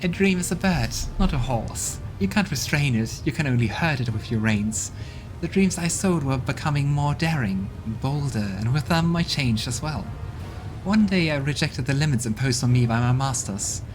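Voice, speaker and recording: A man's voice: mid-range voice, expressive, moderate pace, natural voice, poor audio. A man voicing an arrogant, haughty female demon who talks with contempt, over game music.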